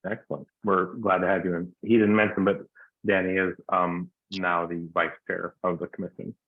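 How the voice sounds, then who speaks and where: A man chairing a municipal arts commission meeting, running roll call in a relaxed, informal way with plenty of fillers. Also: low-pitched, normal speed, no room sound. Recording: dry tinny phone-like line.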